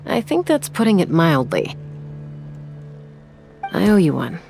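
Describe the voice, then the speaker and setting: A woman's voice: on the low side, sing-song, a little slow, vocal fry, a little mellow, faint music behind, exaggerated voice. An actress playing a cool, guarded, confident young woman who acts as a peacemaker in a video game. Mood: teasing.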